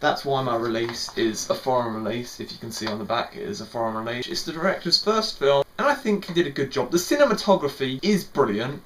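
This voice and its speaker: A man's voice: medium pitch, a bit bright. A young man speaks casually into his camera, reviewing a film. His voice sits in a middle range, and his talk wanders, with repeated words and fillers. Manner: rambling, repeats words, lots of fillers like "sort of".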